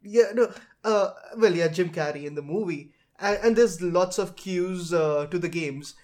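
High-pitched man chatting casually. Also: a little slow, a little mellow. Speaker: podcast host. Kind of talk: casual chat about films and games.

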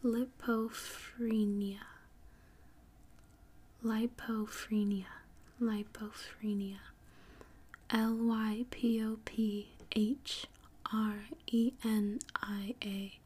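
A woman hosts a sleep podcast in a soft ASMR whisper. Her voice is airy and breathy, with very little rise and fall. She takes her time, carefully repeating and spelling out words at a slow pace. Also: mid-range voice, level tone. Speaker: podcast host.

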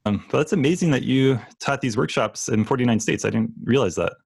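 A man hosting a podcast, asking quick, encouraging questions. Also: medium pitch, gravelly, a little mellow, husky. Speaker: podcast host. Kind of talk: interviewing.

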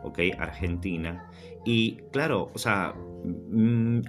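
Man from venezuela chatting on a podcast, deep voice, calm anecdote, guitar playing behind. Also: takes it slowly. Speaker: podcast co-host. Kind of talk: casual podcast chat.

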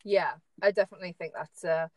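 A woman's voice: lowish voice, quick pace, a little mellow, no echo. A young woman co-hosting a podcast, upbeat and bubbly as she asks the guest questions. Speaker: podcast co-host. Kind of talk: casual chat.

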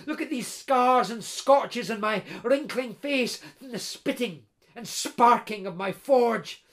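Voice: mid-range voice, slow pace, close to an everyday voice. A storyteller voicing an aging, grey, scarred blacksmith who stammers and repeats words as he pleads with his lost love. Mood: astonished.

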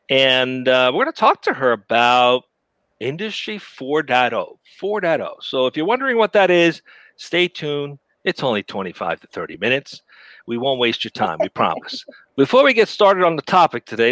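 A man's voice: mid-range voice, fairly bright tone, no room sound. A man hosting a live web show over a video call, chatty and upbeat, laughing easily between remarks. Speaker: show host.

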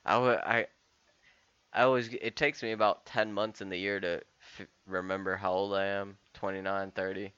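A man's voice: low voice, level tone, normal speed, sharp tone, clean tone, no room sound, lo-fi sound. A man of about thirty talking casually and candidly in a rambling solo podcast monologue, often restarting and swearing. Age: 30s. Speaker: podcast host.

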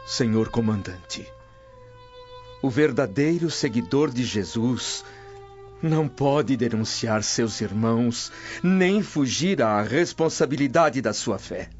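A man's voice: medium pitch, animated, slow pace. A man with a Brazilian accent playing a devout, dignified freed slave held prisoner, solemn and formal in a radio soap. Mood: solemn, resolute. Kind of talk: radio soap acting in Portuguese. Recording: muffled radio drama with music underneath.

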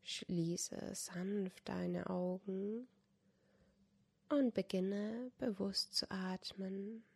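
A woman softly guiding a yoga nidra meditation in a breathy, whispery voice, calm and unhurried, with long pauses. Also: medium pitch. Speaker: yoga nidra guide, podcast host. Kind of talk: guided meditation, soft instruction.

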